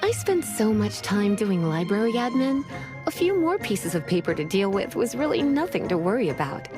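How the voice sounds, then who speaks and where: A woman voicing a relaxed, worldly librarian who talks in an unhurried, soothing way. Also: medium pitch, over music, close to an everyday voice. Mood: easygoing. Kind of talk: video game voice acting.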